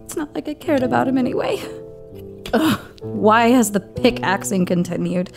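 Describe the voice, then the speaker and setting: A woman's voice: a little high, expressive, normal speed, gravelly. A woman playing a fed-up assistant, curt. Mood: bitter. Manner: curt, exasperated. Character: fed-up, sharp-tongued female assistant. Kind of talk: audio drama acting. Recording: music behind.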